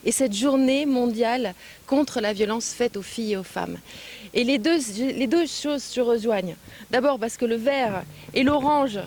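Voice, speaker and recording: A woman speaking as an official at a public event, phrasing deliberately with frequent hesitant pauses, recorded on location. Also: fairly high pitch, a little mellow, slight background noise. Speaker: European Union official giving a speech and interview. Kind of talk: public speech and press remarks.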